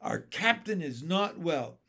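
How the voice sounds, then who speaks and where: A man's voice: a little high, big pitch swings, slow, sharp tone, heavily acted. A man voicing a sensible, concerned first officer of a ship in a storybook, firm and restrained.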